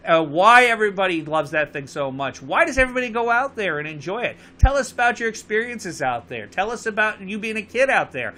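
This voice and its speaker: A man's voice: high voice, sharp tone. A man with a Connecticut accent hosting a live call-in show, urging viewers to phone in and repeating the number. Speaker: show host.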